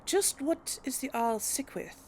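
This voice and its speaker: Slow-paced, musing woman gamer. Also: sits high, lively intonation, a little mellow, a little hiss or hum. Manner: musing, talks to herself. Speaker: gaming video host playing in character. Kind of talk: casual role-play commentary, reading dialogue choices aloud.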